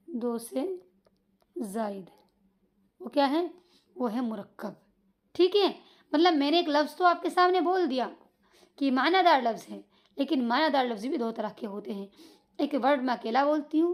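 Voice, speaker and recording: A woman teaching children Arabic grammar, explaining patiently and checking they follow. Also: high-pitched. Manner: patient, repeats points with checking questions. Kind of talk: online grammar lesson for children.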